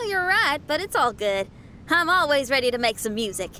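A woman's voice: sits high, very animated, moderate pace, sharp tone, a little hiss or hum, heavily acted. A woman playing a friendly, upbeat young musician and adventurer in an anime video game, casual and earnest. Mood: curious, eager.

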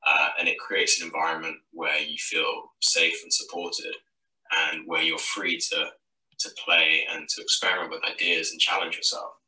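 Male student from Malaysia, low and flat, distant roomy recording. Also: moderate pace. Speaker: architecture student. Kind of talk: testimonial for a promo film.

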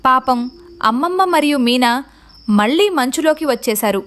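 High-pitched woman narrating a children's story. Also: lively intonation, normal speed, a bit bright.

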